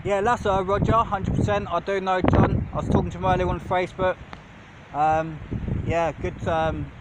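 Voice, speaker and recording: A man's voice: high-pitched, moderate pace. Chatty man on a windy clifftop. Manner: rambling, relaxed, with frequent fillers. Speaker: radio hobbyist. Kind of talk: casual walkie-talkie chat. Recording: muffled, heavy wind noise.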